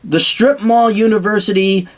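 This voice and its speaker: A man's voice: sits high, fairly even intonation, normal speed. A man talking straight to camera in a blunt, sarcastic rant, swearing freely and rambling with restarts. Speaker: vlogger giving blunt career advice. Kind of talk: casual monologue to camera.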